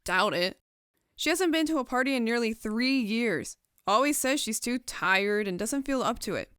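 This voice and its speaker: A woman's voice: a little high, expressive, moderate pace, a bit bright, clean tone, no room sound, clean studio sound. A woman voicing a reserved, doubtful young female pegasus in an audio drama, casual and a little downbeat. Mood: resigned.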